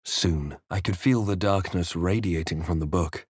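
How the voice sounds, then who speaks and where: A brooding low-voiced fantasy adventurer. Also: male voice, slightly rough, no room sound. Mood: grave, narrating. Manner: measured, dramatic storytelling delivery. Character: brooding male fantasy adventurer hero, grave and watchful. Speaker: video game player character voice actor. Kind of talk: scripted game narration and character lines.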